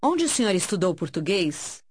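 A woman speaking clear, polite, scripted dialogue lines in a language course, on a studio mic. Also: lowish voice, big pitch swings, moderate pace, no echo.

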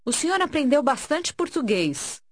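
A woman speaking clear, polite, scripted dialogue lines in a language course, on a studio mic. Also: high voice, very animated, normal speed, dry recording.

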